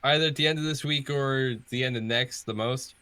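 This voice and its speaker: A man with a medium-pitched, notably bright voice takes part in a livestream as a guest. His talk is casual and conversational. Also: fairly quick, clean tone. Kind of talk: casual chat.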